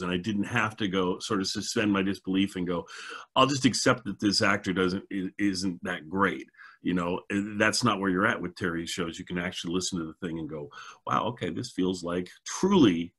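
Low, husky man chatting enthusiastically. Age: middle-aged. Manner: enthusiastic, effusive. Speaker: show host. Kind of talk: casual chat on a video call. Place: indoors at home, video call.

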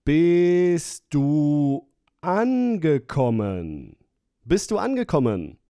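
A man's voice: on the high side, sing-song, extremely slow, dark tone, clear, resonant tone, no room sound, studio quality. A man reading phrases for a language course, splitting and repeating them with careful enunciation. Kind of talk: slow, clear phrase-by-phrase repetition.

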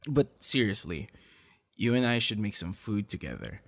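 A young man playing a playful, affectionate boyfriend in an ASMR audio roleplay, with a teasing, encouraging, slightly halting delivery. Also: fairly low pitch, lively intonation. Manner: teasing, encouraging, halting with soft laughs and gasps. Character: playful, affectionate young male voice actor on a date.

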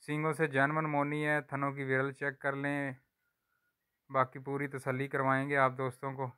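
A man walks viewers through cattle for sale on a farm, talking in plain, unhurried sales patter and filming on a handheld phone outdoors. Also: medium pitch, completely flat delivery, bright, forceful tone, clear, resonant tone. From Pakistan. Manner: repeats a stock religious phrase often. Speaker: livestock seller vlogger. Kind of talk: casual sales walkthrough.

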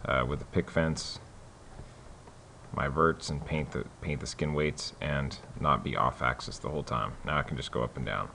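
Man with a very low voice, casual tutorial host, loose and halting delivery, light hiss behind. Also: creaky. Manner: relaxed, many fillers and restarts. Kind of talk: casual screen-recorded tutorial.

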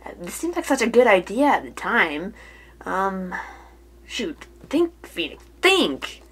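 A woman voicing an earnest, flustered young male lawyer in an amateur puppet show, hesitantly thinking out loud. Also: mid-range voice, very animated, slow pace, husky, voice pushed down, full character voice. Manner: hesitant, puzzling things out aloud.

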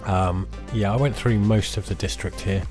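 A man, slightly hoarse from losing his voice, casually talking through his city-building game with lots of fillers. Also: lowish voice, moderate pace. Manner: relaxed, self-deprecating, many fillers. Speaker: let's play host. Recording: music playing behind the voice.

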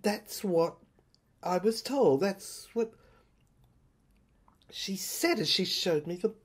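Haunted, slow, halting narrator, acted by a woman. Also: medium pitch, lively intonation, slightly rough. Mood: halting recall. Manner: halting, stammering, repeating words. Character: haunted, agitated narrator recalling an uncanny asylum. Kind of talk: dramatic monologue in an audio play.

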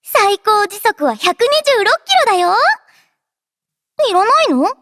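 A woman's voice: very high-pitched, big pitch swings, unhurried, a bit bright, dry recording, clean studio sound, exaggerated voice. A woman voicing a cheerful, childlike android girl in an anime game, playful and boastful in manner. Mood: playful, offering. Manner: playful, childlike, refers to herself by name. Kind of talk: anime game character voice lines. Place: dry studio.

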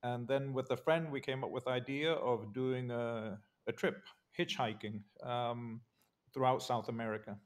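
An older man from Argentina, a guest on a video call, telling his life story in a relaxed, measured way with many pauses and fillers. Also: medium pitch. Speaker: interview guest, political scientist. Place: home study with bookshelves. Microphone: video call webcam.

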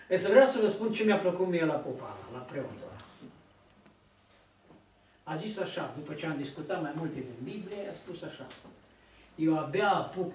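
Older male preacher, high voice, lively storyteller; distant mic in an echoey hall. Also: slight background noise. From Romania. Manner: storytelling, acts out quoted dialogue, gestures widely. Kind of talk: sermon. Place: church hall, at a lectern. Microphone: far camera.

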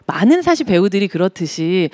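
A woman's voice: mid-range voice, sing-song, a little fast, darkish tone, no room sound, clean studio sound. A woman in her thirties giving thoughtful film commentary on a live panel show, speaking into a handheld mic. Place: stage with live audience.

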